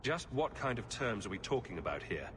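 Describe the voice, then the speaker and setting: Wary adventurer, echoing hall. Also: male voice, fairly low pitch, big pitch swings, a little fast, a bit bright. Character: wary, level-headed human male adventurer. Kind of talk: video game voice acting. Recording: reverberant hall, noticeable ambient noise.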